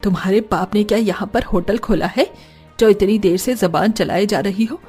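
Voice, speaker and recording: A woman's voice: mid-range voice, moderate pace, a little mellow, slightly rough, soft background music, voice pushed down, lightly acted. A woman voicing a scolding, threatening, exasperated elderly mother in a cartoon. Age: older.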